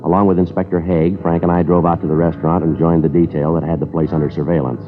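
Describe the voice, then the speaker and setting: A hard-boiled police sergeant, played by a man, gives his case report in clipped, matter-of-fact sentences, his voice very deep and his pace brisk. He sounds like an old radio crime drama, tinny and narrow, with music under it. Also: little pitch movement, natural voice. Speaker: detective narrator.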